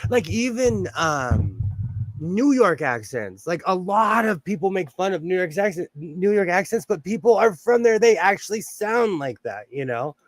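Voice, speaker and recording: Man, middle-aged, Southern Californian accent, slightly high voice, rambling livestream host, dry sound. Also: sing-song, normal speed, dry recording. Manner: rambling, chuckles often, greets viewers by name. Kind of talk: casual chat while sewing, reading chat.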